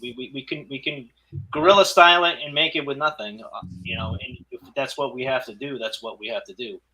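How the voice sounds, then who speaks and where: A man's voice: medium pitch, a little fast, sharp tone, a little hiss or hum, poor audio. A man chatting casually as a filmmaker guest, often repeating words as he goes. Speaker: independent filmmaker, podcast guest. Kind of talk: casual chat.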